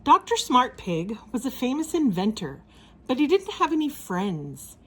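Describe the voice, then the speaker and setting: A woman narrates a children's storybook with plenty of drama. Her pitch moves widely from phrase to phrase, and her voice has a husky grain. The delivery is expressive throughout. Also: unhurried.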